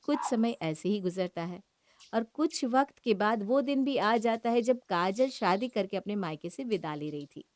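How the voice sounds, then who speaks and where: A woman's voice: mid-range voice, animated, moderate pace, a little mellow. A woman narrator reading a scripted family story.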